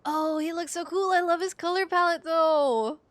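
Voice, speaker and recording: A woman's voice: extremely high pitch, steady tone, moderate pace, fairly bright tone. A woman streamer chatting casually and expressively as she reacts to a game she is playing. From Philippines.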